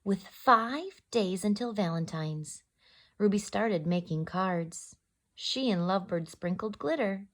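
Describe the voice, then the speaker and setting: Expressive, unhurried woman reading to children. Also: medium pitch. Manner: expressive, addresses young listeners with questions. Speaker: storyteller, host. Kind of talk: children's picture book read-aloud.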